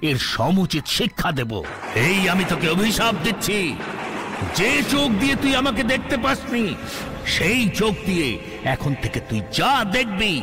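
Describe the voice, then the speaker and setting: A furious, haughty forest hermit in a cartoon. His voice is high and swoops widely as he declaims and accuses, with music behind him. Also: big pitch swings, moderate pace. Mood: furious, menacing. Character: wrathful proud hermit sage who lays a curse. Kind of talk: theatrical cartoon voice acting.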